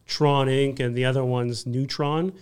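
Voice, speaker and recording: A man's voice: mid-range voice, a little slow, clear, resonant tone. A man around thirty, an electrician from Canada, telling work stories in a casual roundtable chat and acting out customers' lines as he goes. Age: 30s. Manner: storytelling, animated quoting of customers.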